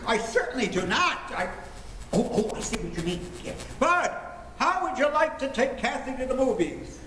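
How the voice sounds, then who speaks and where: A man's voice: extremely high pitch, sing-song, moderate pace. A man acting a stubborn, flustered father in an amateur 1940s-style radio comedy, hemming and stammering emphatically. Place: filmed stage performance in a room. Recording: echoey room, faint background noise.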